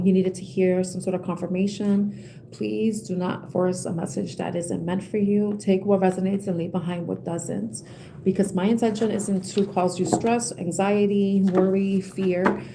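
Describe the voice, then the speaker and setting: A young woman giving a collective tarot reading with a soothing voice, talking gently and rambling with many fillers and restarts. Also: lowish voice, gravelly, a little hiss or hum. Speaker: tarot reader.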